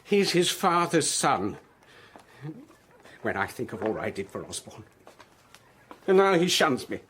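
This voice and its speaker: A man's voice: medium pitch, big pitch swings, unhurried, fairly bright tone, a little hiss or hum. An actor playing a bitter, ruined elderly father, declaiming indignantly in a period radio drama. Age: older.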